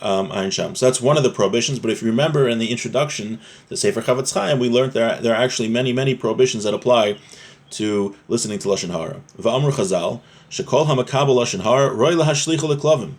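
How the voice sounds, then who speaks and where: A man's voice: medium pitch, quick pace. A man giving an informal religious lesson, reading from a text and explaining it plainly as a teacher. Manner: explanatory, restating points in plain words.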